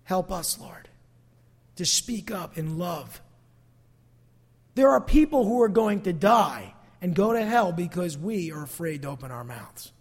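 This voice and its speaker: A man's voice: sits high, lively intonation, measured pace, breathy edge. A man preaching a sermon as a pastor, emphatic yet conversational, repeating phrases for emphasis. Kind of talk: sermon to a congregation, closing in prayer. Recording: long hall reverb.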